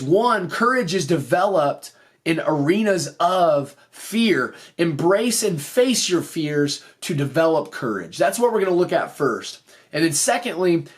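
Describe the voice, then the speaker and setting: A man hosting a motivational podcast, speaking in an earnest, explanatory way, his voice slightly off after recently losing it. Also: a little high. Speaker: podcast host and teacher.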